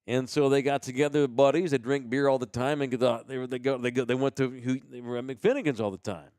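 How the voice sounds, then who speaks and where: An American man hosting a podcast with casual banter and sponsor shout-outs, talking in a slangy, rambling, profane way. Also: medium pitch, steady tone, fast talker, no room sound, studio quality. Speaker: host of a live podcast.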